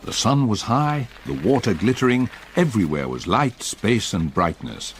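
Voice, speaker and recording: An actor playing a reflective English gentleman, narrating his memories in measured, literary style for a gothic radio drama. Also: fairly low pitch, sing-song, noisy background.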